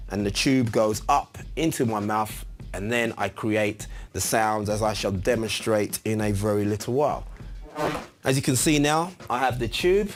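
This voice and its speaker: A man giving an informal, chatty tutorial as a musician, explaining a piece of gear step by step. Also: medium pitch. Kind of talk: casual instructional demonstration.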